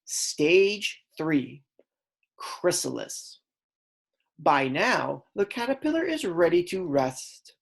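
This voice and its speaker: A woman reading aloud as a kindergarten teacher, in a deliberate, instructional way that stresses key words. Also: fairly low pitch, big pitch swings, creaky, husky. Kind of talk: read-aloud lesson for young children.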